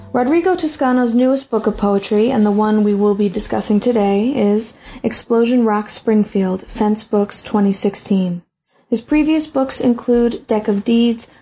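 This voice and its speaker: Mellow-voiced woman hosting a podcast. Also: medium pitch. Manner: reads introduction, then brief encouraging prompts. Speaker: podcast host. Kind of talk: interview hosting. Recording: muffled lo-fi audio.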